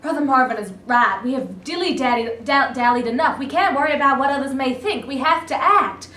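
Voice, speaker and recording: A woman playing an impatient, militant activist in a staged reading, speaking in forceful, insistent rallying phrases. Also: high voice, a little fast. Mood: defiant urgency. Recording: echoey room, distant mic, faint noise.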